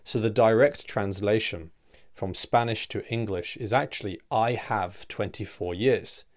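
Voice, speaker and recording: Man, slightly low voice, English teacher, measured explanatory read, phrases fade softer at the end. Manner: clear, deliberate teaching delivery with rhetorical questions. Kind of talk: scripted explanatory lesson.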